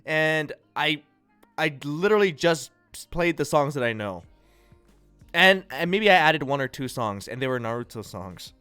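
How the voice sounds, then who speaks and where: A congested, nasal-sounding man from the United States hosting a livestream, chatting casually and rambling through viewers' comments with a relaxed, self-deprecating manner. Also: a little high, normal speed, clear, resonant tone, no echo, soft background music. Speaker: livestream host. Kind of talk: casual chat reading and answering viewer chat.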